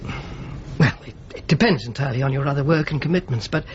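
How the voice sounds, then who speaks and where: A man's voice: medium pitch, fast talker, dull audio, slight background noise, close to an everyday voice. An actor playing an earnest, discreet churchman in a radio drama, speaking hesitantly and thoughtfully. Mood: tentative.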